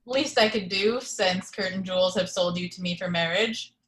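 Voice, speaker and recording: A man's voice: high-pitched, moderate pace, fairly bright tone. A woman reading the part of a decent, awkwardly joking young man in a film script. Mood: wry.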